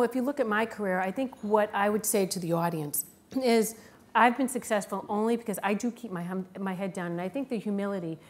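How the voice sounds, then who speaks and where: A middle-aged woman with a thick Boston accent, speaking plainly and candidly as a panelist. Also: mid-range voice. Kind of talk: panel talk.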